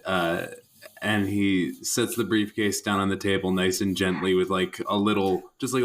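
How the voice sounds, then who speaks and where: A man's voice: deep voice, a bit bright. A man playing a tabletop game, casually improvising descriptions of what his character does.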